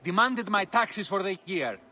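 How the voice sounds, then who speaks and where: Angry game farmer, high bright voice, slow and swooping, bitter sarcasm. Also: male voice, animated, exaggerated voice. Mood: aggrieved. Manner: indignant, sarcastic. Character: bitter, ruined male farmer, indignant and scornful.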